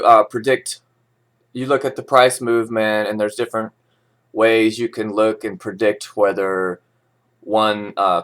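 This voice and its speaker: A man's voice: on the low side, a bit bright. Casual, rambling man reading the news. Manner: informal, digressive, opinionated asides. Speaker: vlogger reading forex news with commentary. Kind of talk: casual rambling talk mixed with read-out news.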